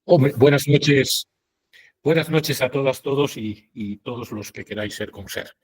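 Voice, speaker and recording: Man, husky voice, mid pitch, online panelist, explanatory and repetitive. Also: measured pace, darkish tone, low-quality recording.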